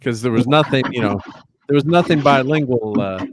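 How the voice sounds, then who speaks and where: A man's voice: medium pitch, a little fast. A man in casual chat as host, rambling with many fillers and restarts.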